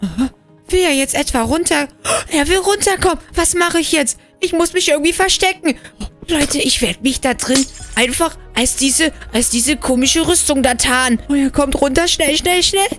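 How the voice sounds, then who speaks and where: Female actor as nervous, excitable older brother for game role-play; high register, exclamatory, stammers under pressure. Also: normal speed, soft background music. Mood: alarmed. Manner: breathless exclamations, addressing viewers, stammering when flustered. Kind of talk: acted role-play game story for viewers.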